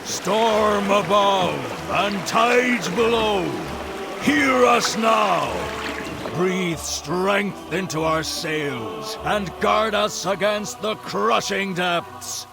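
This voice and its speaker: An actor playing a solemn sea priest chanting a ceremonial invocation of storm and tides in a video game. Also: male voice, sits high, expressive, very slow, creaky, heavily acted. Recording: dramatic music behind the voice.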